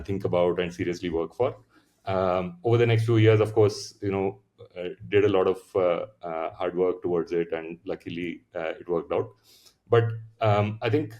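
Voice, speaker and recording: Indian founder, thirties, very low voice, reflective and halting, casual interview storytelling. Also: male voice, darkish tone. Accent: Indian English. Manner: reflective, many fillers and restarts. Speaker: founder, podcast guest.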